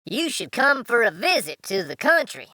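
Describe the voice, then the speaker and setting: A man's voice: very high-pitched, big pitch swings, normal speed, pushed and bright, dry recording, clean studio sound, voice pushed up, exaggerated voice. A man voicing a humble, friendly country mouse with an earnest, homely manner for a children's storybook. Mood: inviting.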